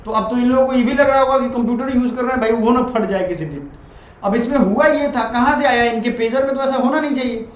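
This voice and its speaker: High voiced guy teaching, chatty lecture style, keeps checking u get it, echoey muffled room. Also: fast. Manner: colloquial, often checks listeners follow. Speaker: teacher explaining current affairs. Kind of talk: informal explanatory lecture. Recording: dull, noisy, echoey room recording.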